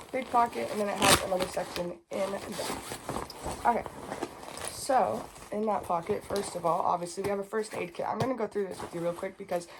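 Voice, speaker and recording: A woman, chatting casually and unfiltered to camera in a packing vlog, a little sniffly and raspy from a cold. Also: on the low side, slight background noise, low-quality recording. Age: 20s. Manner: chatty, unfiltered, rambling asides. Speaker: vlogger.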